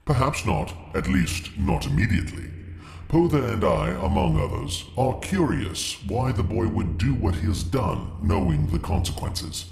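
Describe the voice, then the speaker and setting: An actor playing a cold, formal alien overlord in an audio drama, with a gravelly voice and deliberate delivery. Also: male voice, mid-range voice, sing-song, darkish tone, full character voice. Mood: cold, measured. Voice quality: gravelly, creaky. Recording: echoey, faint background noise.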